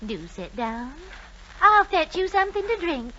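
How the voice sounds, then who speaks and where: A woman's voice: very high-pitched, big pitch swings, a little slow, pushed and bright, exaggerated voice. An actress playing a genteel, composed lady suspect in an old-time radio drama, with a refined, imperious manner. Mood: guarded, smooth. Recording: dull, noisy vintage radio recording.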